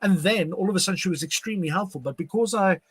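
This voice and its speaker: A man's voice: high voice, fast talker, slightly rough, no room sound. A man from South Africa, whose first language is Afrikaans, talking casually with many fillers and restarts. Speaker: podcast guest. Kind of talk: casual conversation.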